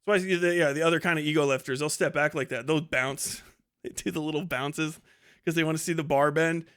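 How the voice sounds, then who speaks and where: Animated, higher-voiced man swearing casually. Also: brisk, smooth. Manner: animated, profane, enthusiastic. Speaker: live streamer. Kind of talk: casual, rambling livestream chat.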